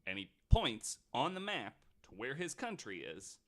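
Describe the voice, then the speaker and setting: A man's voice: medium pitch, huge pitch swings, unhurried, a bit bright. A man hosting a podcast, telling a story in a loose, chatty way with plenty of likes and the odd mild swear. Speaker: podcast host. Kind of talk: casual storytelling chat.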